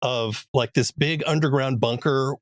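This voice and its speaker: Relaxed man interviewing, dry sound. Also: medium pitch, measured pace, no room sound. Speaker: podcast interviewer. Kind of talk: casual interview.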